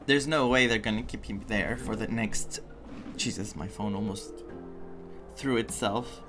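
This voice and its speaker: Slow-talking man reacting to a game. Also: on the low side, fairly even intonation, music in the background. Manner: musing aloud in short remarks. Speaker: gaming streamer. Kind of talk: casual live playthrough reaction commentary.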